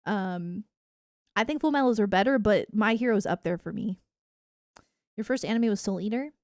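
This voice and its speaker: A woman chatting casually to viewers on a livestream in a giggly, animated, rambling way. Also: medium pitch, moderate pace, dry recording, clean studio sound. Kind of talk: casual livestream chat about anime and games.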